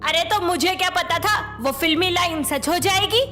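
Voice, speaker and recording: A woman playing a discontented, bossy young Indian wife in a melodramatic story dub, complaining and defiant with a sharp tongue. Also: very high voice, moderate pace, music in the background. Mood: nagging, exasperated. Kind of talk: melodramatic Hindi moral-story dubbing.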